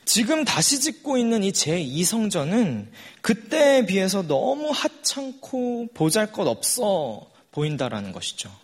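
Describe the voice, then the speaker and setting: Man, forty, sermon, conversational, rhetorical questions, animated melody, fairly high voice. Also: measured pace, few pauses, breathy edge. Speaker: preacher.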